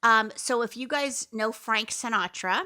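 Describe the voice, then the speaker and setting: Fifties mom, bright crisp voice, pitched a bit high, chatty storyteller. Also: female voice, unhurried. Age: 50s. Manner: chatty storyteller who keeps addressing listeners. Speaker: podcast co-host, mother. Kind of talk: casual chat.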